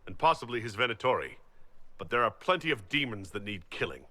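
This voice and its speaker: An actor playing a resolute leader in a fantasy video game, speaking firmly and formally in measured phrases. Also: male voice, on the low side, big pitch swings, pushed and bright. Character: commanding, resolute young leader of a holy war effort.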